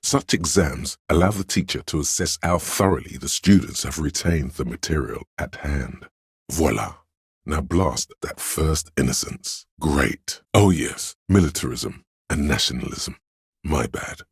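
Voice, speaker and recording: A man voicing a video game's know-it-all inner voice of encyclopedic trivia, erudite and measured, with a gravelly, husky, very deep delivery. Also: animated, no echo, clean studio sound, exaggerated voice. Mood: self-assured. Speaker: video game voice actor. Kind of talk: scripted game narration.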